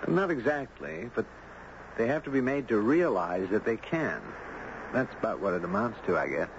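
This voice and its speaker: A man's voice: lowish voice, expressive, moderate pace, highs cut off, close to an everyday voice. Actor as easygoing man, noisy old radio drama. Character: easygoing, puzzled man, beach-going dog owner and storyteller. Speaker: actor narrating and playing the lead. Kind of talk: 1970s radio drama acting.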